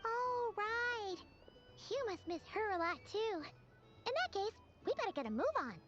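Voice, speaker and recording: A woman voicing a tiny, chirpy, excitable fairy-like girl companion in a video game, chatty and childlike. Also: normal speed. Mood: upbeat. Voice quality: childlike, squeaky. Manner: refers to herself in third person, chatty, exclaiming. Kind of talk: video game character acting. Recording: muffled game audio under music.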